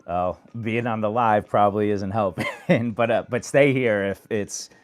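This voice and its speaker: A man from New York hosting a late-night phone livestream, giving earnest advice to viewers in a monotone way. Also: lowish voice, measured pace. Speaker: livestream host. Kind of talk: casual live chat answering viewers.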